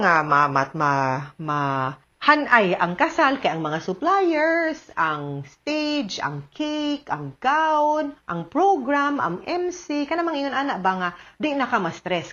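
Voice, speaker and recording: A woman's voice: a little high, dull audio. A woman with a Cebuano Filipino accent giving conversational advice as a radio host, explaining emphatically with frequent tag questions. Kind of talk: conversational advice talk mixing in English.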